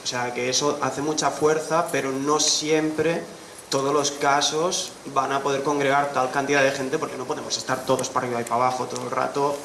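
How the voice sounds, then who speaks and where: A man's voice: medium pitch, little pitch movement, fairly quick, gravelly, fairly bright tone. A man from Barcelona with a Catalan accent, asking a hesitant question at a public assembly. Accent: Catalan Spanish. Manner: hesitant, restarting and searching for words. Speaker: audience member asking a question. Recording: roomy, noisy outdoor assembly.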